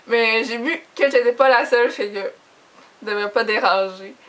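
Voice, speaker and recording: A woman's voice: on the high side, moderate pace, a bit bright. A young woman with a Quebec French accent chatting casually and informally to camera as a book vlogger, in a giggly, self-deprecating way. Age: young adult.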